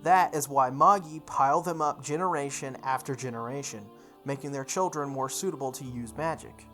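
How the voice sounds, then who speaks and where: Medium-pitched voice, visual novel read-aloud, dramatic delivery, game host, music playing behind. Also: normal speed, fairly bright tone. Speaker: video game playthrough host.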